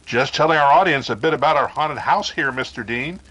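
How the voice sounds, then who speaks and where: A man playing a polite 1930s radio field announcer, speaking in a formal, measured, courteous way. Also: medium pitch, sharp tone, low-quality recording. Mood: courteous, brisk.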